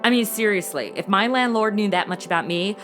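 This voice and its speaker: A woman's voice: medium pitch, a little fast, fairly bright tone, soft background music, lightly acted. A young woman playing a sassy, impatient modern twenty-something in a comedic audio drama, with a sarcastic, snarky delivery. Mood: complaining. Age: young adult. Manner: sarcastic, snarky, exaggerated reactions.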